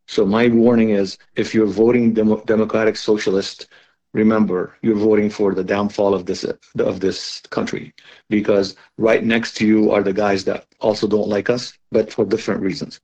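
A man in his sixties from Lebanon, a guest in a conversational radio interview, speaking in a halting way with repeated words. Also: fairly low pitch, flat delivery, darkish tone, a little hiss or hum. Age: 60s. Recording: dull lo-fi remote connection.

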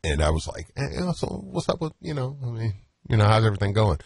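A man hosting a casual sports talk show, excitable and prone to stammering restarts. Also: lowish voice, fast, vocal fry, breathy edge, no room sound.

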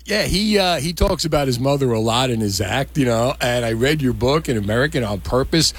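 A man's voice: mid-range voice, expressive, fairly quick, breathy edge, dry recording. A man interviewing in a casual, chatty way, enthusiastic and admiring of his guest.

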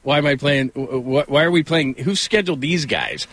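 A man's voice: on the high side, animated, a little fast, a bit bright, no echo. A man hosting a casual sports talk radio show, rambling conversationally through stories with self-deprecating asides. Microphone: close studio microphone.

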